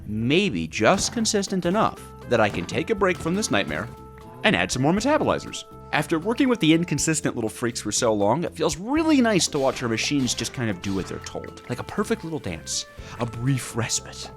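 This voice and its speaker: A man's voice: medium pitch, huge pitch swings, fairly quick, soft background music. A man narrating a scripted gameplay story with dramatic, comically exasperated delivery. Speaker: gaming video creator.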